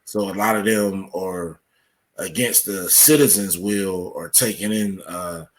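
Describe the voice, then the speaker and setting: Low-voiced man, halting explainer. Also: steady tone, rough recording. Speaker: interview guest, researcher. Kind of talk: explanatory interview talk.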